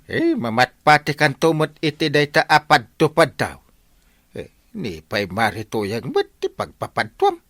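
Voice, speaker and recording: A man with an Ilocano accent playing an excitable, stammering, blustering village captain in a radio comedy. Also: a little high, unhurried, dry recording, clean studio sound, exaggerated voice. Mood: flustered. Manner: stammering, repeats short exclamations.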